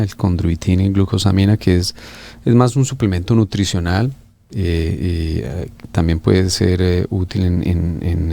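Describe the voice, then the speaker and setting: A middle-aged man from Colombia, an orthopedic surgeon explaining treatments in an interview, pausing often with fillers and restarts. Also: sits low, gravelly, dark tone. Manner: hesitant, many fillers and restarts.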